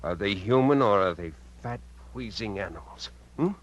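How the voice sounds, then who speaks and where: An American actor playing a menacing, bitter, cajoling killer uncle in a 1950s radio drama, with intense delivery. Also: male voice, fairly low pitch, expressive, moderate pace, highs cut off, close to an everyday voice. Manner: intense, swinging from coaxing to contemptuous. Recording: vintage radio recording with hum.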